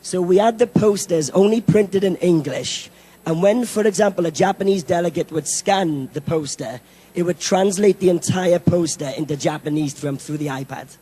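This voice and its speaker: A Welsh man who has lost his voice, hoarse, presenting event technology at a conference in an upbeat, self-deprecating way with many fillers. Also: sits high, moderate pace, mellow. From Wales. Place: conference hall.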